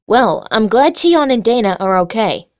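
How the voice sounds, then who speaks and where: A woman's voice: a little high, sing-song, normal speed, music in the background. A woman voicing a concerned, easily amazed girl in a kids' cartoon.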